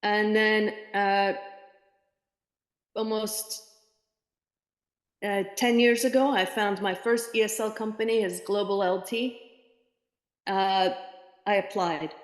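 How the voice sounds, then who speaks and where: A middle-aged woman with a standard American accent, a freelance ESL teacher and editor, talking casually with a client in a halting way full of fillers. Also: mid-range voice, fairly even intonation. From New York area. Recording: echoey room with long reverb.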